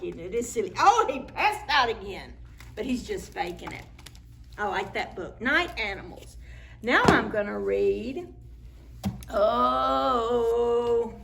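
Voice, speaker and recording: A middle-aged woman librarian reads aloud at a preschool storytime, unhurried and playful. Her voice lilts widely, sits a little high and carries a slight fry. A faint hiss lies under the recording. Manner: animated, playful, chatty asides to children. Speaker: children's librarian.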